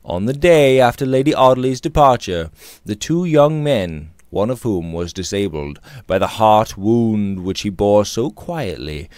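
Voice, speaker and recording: A man in his thirties reading a Victorian novel aloud with theatrical, deliberate phrasing, close to the microphone in a small room. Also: on the low side, lively intonation, a little mellow, clear, resonant tone, no room sound. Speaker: audiobook reader. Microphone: close studio mic on boom arm.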